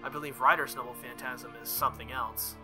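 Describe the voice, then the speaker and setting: A man voicing a formal, proud young woman knight with a calm, measured manner in a visual novel. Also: medium pitch, over music, natural voice. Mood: solemn.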